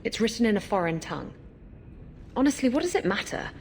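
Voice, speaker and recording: A woman's voice: fairly high pitch, sing-song, fast talker, a little mellow, a little hiss or hum. A woman playing a brash, evasive female pirate rogue in a video game, with a glib, wheedling, flippant manner.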